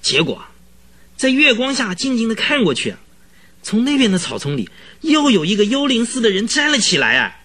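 An actor in a radio drama playing an excitable, easily frightened horse trainer who tells things in a husky, rambling, dramatic way. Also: male voice, very high voice, a little fast, exaggerated voice. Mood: spooked, excited. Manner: rambling, dramatic storytelling full of interjections.

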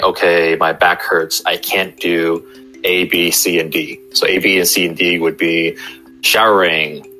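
A man's voice: deep voice, sharp tone, rough recording. A young adult man, a chiropractor, explaining his work in a casual interview with fillers and restarts. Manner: explains with everyday examples, many fillers and restarts.

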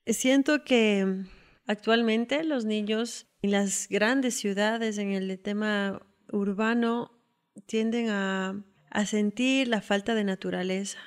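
A woman in her thirties with a Quito accent, an outdoor guide speaking in an unhurried, reflective way and pausing on fillers. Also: mid-range voice, steady tone, clean tone. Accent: Ecuadorian Spanish, Quito. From Ecuador. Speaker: outdoor guide and tour operator founder. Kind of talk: personal self-introduction.

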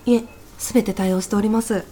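A woman's voice: medium pitch, fairly even intonation, fast talker, mellow, close to an everyday voice. A woman playing a polite, bewildered part-time supermarket cashier in a comedy radio drama, using wary, courteous service speech. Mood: polite, apologetic. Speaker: podcast actor.

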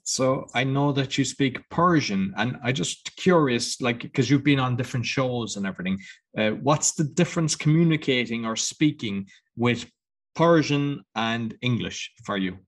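Podcast host asking casual questions. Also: male voice, medium pitch, animated, moderate pace. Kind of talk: podcast interview.

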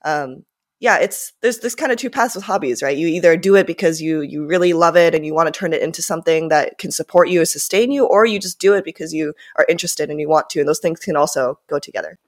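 A woman's voice: sits low, fast. A woman in her twenties, born and raised in Japan with Japanese as her first language, answering interview questions in a rambling, casual way with many fillers. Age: 20s. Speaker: guest, startup founder.

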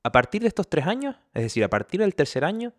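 A man's voice: high voice, big pitch swings, fast talker. Young canarian guy chatting on his home podcast, laid-back and jokey, talks to his dog between bits. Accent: Canarian Spanish. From Canary Islands, Spain. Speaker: podcast host. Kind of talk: casual chat. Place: at home, indoors.

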